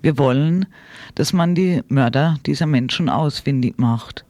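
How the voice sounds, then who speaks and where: A man's voice: fairly high pitch, a little slow, a little mellow, no echo. Sober man reading news, dry close sound. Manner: sober, formal. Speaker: radio reporter. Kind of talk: scripted news narration.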